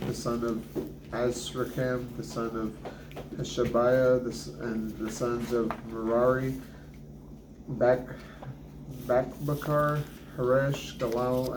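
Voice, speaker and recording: A middle-aged man reading scripture aloud to his family at a kitchen table, halting and restarting on hard names. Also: mid-range voice. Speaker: family Bible reader. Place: kitchen table at home, indoors. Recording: echoey kitchen with background noise.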